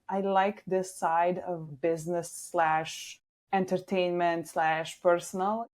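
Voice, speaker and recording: Young Slovenian-accented woman guest, lowish voice, easygoing chat full of "like.". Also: a little mellow. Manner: chatty, frequent "like" fillers. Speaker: guest, social media manager. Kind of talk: casual interview chat.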